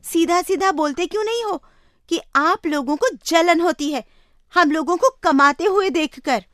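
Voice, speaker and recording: A woman's voice: very high-pitched, a bit bright, dry recording, clean studio sound, voice pushed up. A woman voicing a bold, outspoken young sister in a moral story, firing confrontational questions at those around her. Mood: indignant, accusing. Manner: confrontational, rapid rhetorical questioning.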